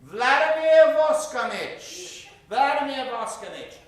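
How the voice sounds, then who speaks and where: A man's voice: very high-pitched, lively intonation, slow pace. A man hosting an improv comedy show, projecting theatrically as he asks a live audience for suggestions. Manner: theatrical showman, projecting to a crowd. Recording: very echoey theater, distant mic.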